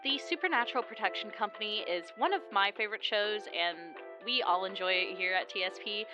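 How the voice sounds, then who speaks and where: A woman podcast producer giving a spoken recommendation. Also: medium pitch. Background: music.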